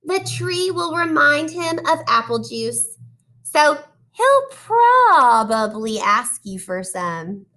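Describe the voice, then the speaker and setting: A woman reading a children's storybook aloud like a teacher, expressive and deliberate, speaking directly to young listeners. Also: high-pitched. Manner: addresses children directly with questions and praise.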